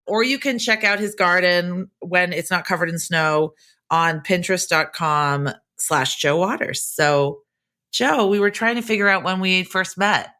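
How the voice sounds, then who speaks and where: A woman's voice: on the low side, measured pace, bright, forceful tone. A woman from the Boston area hosting a podcast with an upbeat, enthusiastic manner. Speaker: podcast host. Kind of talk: podcast interview and intro.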